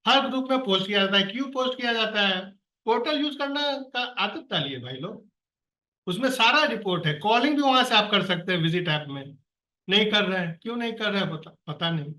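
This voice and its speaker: A man's voice: high-pitched, expressive, fairly quick, bright, forceful tone. A man leading an online staff meeting, urging and pleading with colleagues in an earnest, exasperated way, heard on a video call. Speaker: project coordinator on a staff call. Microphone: computer or phone on a video call. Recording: echoey room, distant from the mic.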